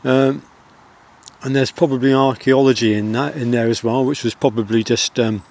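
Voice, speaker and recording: A middle-aged man speaks with a soft Wiltshire country accent, his voice at an ordinary pitch for a man. He talks in a gentle, rambling way, stopping often on fillers. He is recording outdoors in the countryside. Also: steady tone. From England. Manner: soft-spoken, rambling, with many pauses and fillers. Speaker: outdoor vlogger. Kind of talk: reflective outdoor vlog.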